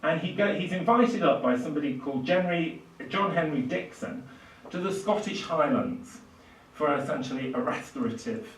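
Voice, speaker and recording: A man's voice: a little high, expressive, slight background noise. Older man lecturing in an echoey room. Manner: halting, with frequent hesitations mid-phrase. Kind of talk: public lecture on literary history. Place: small indoor lecture room. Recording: echoey room, distant microphone.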